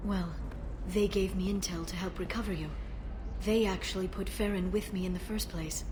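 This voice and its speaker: A woman playing an earnest, determined alien woman in a video game drama, speaking softly and with some hesitation. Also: mid-range voice, steady tone, moderate pace, close to an everyday voice. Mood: somber, explaining. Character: earnest young alien woman, grieving yet determined information broker. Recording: clear background noise.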